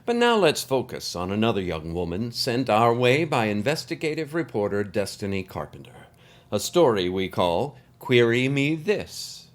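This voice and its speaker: Man playing a hearty, theatrical podcast captain, lively pitch. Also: normal speed, natural voice. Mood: hearty, playful. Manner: hearty, theatrical, wordplay-loving. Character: jovial theatrical male podcast captain, hearty host persona. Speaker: podcast host. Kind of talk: scripted theatrical podcast introduction.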